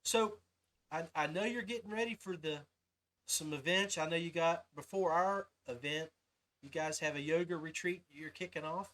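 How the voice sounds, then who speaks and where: A man's voice: fairly high pitch, normal speed, fairly bright tone, low-quality recording. A man hosting a podcast interview in a casual, folksy and informal way. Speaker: podcast host.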